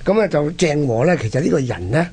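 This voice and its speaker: Husky Hong Kong Cantonese man, higher-pitched. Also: animated, brisk, a little mellow. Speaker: co-host of a history programme. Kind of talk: conversational history storytelling.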